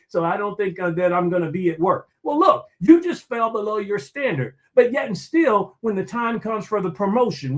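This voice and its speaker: Emphatic preachy motivational man. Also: high voice. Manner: preachy, emphatic, repeats key phrases; asks himself questions and answers them. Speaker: motivational speaker. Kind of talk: motivational talk.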